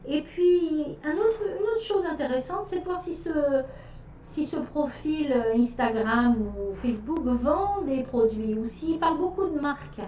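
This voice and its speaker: A woman's voice: high voice, lively intonation, unhurried, warm tone, far from the mic, slight background noise. French woman, hesitant, in an echoey room. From France. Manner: hesitant, many fillers and restarts. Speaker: nutrition specialist hosting a live stream. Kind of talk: casual live-stream Q&A.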